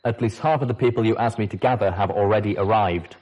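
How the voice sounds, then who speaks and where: A man doing a flat mechanical robot speaker. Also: on the low side, fast talker, highs cut off, natural voice. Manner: mechanical monotone. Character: male helper speaking through a sprite robot.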